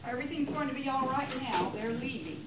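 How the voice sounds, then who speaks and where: A patient, comforting wife in a stage drama, acted by a woman, gently reassuring. Her voice is a touch high. The recording is an echoey theater rehearsal with loud noise over it. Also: lively intonation, brisk. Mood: soothing, reassuring. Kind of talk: amateur stage play acting.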